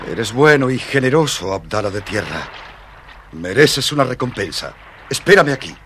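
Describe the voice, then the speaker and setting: An actor playing a generous merman of the sea in a children's storybook, speaking in a theatrical, drawn-out way. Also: medium pitch, animated, lightly acted. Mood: grateful, solemn. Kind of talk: dramatized children's audio storybook acting. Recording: noisy vintage storybook recording with effects.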